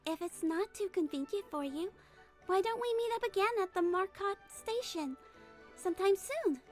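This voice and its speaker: A woman voicing a small, gentle, earnest girlish head nurse in a game fan dub, sweet, polite and measured. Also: very high-pitched, animated. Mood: pleased, polite. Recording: music behind voice.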